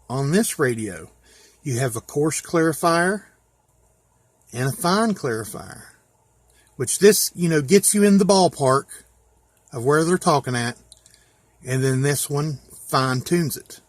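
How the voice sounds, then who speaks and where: Higher-pitched American man, folksy and plain-spoken. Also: no echo. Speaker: hobbyist presenter. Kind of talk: casual hands-on gear walkthrough.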